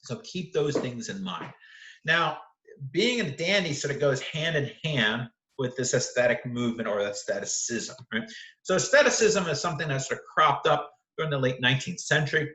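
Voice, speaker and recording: Male lecturer, bright, forward voice, informal and explanatory, conversational with frequent qualifiers, roomy echo on the recording. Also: medium pitch, lively intonation, normal speed. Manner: conversational, often hedging and checking with "right?". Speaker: literature lecturer. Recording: roomy, echoing sound.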